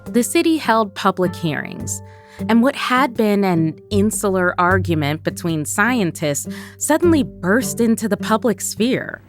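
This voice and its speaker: A woman hosting a podcast, reading scripted narration with a clear storytelling delivery. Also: medium pitch, animated, measured pace, over music. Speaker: podcast host.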